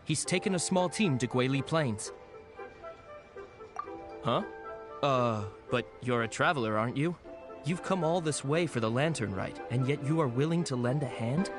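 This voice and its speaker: A man's voice: mid-range voice, expressive, moderate pace, long pauses, music in the background, lightly acted. A man playing a polite, dutiful government clerk in a video game, speaking formally. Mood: earnest. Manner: formal, courteous. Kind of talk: video game character acting.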